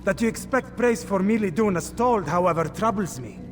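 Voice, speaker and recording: High-pitched, stern assassin mentor. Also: male voice, expressive, fairly quick, noisy background. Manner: commanding, curt, admonishing. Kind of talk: dramatic video game acting. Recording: long reverb, music underneath. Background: music.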